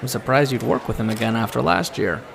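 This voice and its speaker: Man acting a gravelly, nosy TV reporter. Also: medium pitch, fast talker, a crowd in the background, lightly acted. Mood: smooth, probing. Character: nosy male entertainment TV reporter. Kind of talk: red-carpet broadcast interview in audio drama.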